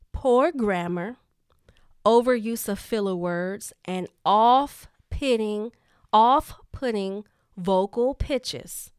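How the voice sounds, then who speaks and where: A woman who is a leadership coach, talking casually and deliberately, repeating key points for emphasis in a voice she has trained to sit lower than its natural high pitch. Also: clear, resonant tone, no room sound, clean studio sound. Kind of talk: casual talk to camera.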